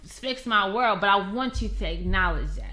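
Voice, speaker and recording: A woman with a bright, clear tone talks frankly and emphatically in a relaxed group conversation. Russian was her first language. She laughs easily between her points. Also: mid-range voice, normal speed. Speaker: podcast co-host. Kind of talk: casual group chat.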